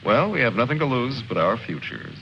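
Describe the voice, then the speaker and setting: An actor playing a reflective, wry army lieutenant in a mid-century film drama, articulate and measured. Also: male voice, mid-range voice, big pitch swings, gravelly, background noise. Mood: resigned. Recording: muffled old film soundtrack with music.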